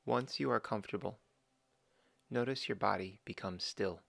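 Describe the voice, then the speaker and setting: Male meditation guide, low gravelly voice, dry close mic, slow calm instructions. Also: dry recording. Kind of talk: guided body scan meditation.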